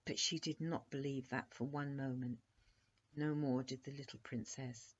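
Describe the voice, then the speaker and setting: A woman reading a children's bedtime story aloud as an expressive narrator. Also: rumbling low, moderate pace, husky. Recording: dull lo-fi recording.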